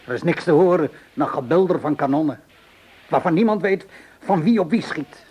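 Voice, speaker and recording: An actor playing a middle-aged, cynical Parisian tavern keeper in a radio play, speaking in a weary, sardonic, measured way. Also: male voice, on the high side, animated, darkish tone, husky. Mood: grim, weary. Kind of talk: Dutch radio play acting. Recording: noisy old radio play recording.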